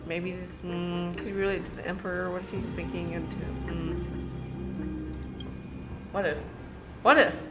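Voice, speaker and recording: A woman's voice: on the low side, little pitch movement, unhurried, pauses often. A woman streamer giving casual, playful game reactions, thinking aloud in a chatty way. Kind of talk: casual reaction commentary, some reading aloud. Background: game music.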